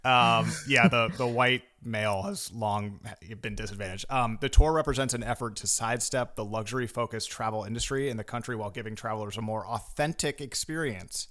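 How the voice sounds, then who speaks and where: A man's voice: mid-range voice, pushed and bright. Joking man co-hosting a news podcast. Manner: joking, playful, reads news items quickly. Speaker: podcast co-host. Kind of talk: casual news discussion, reading headlines.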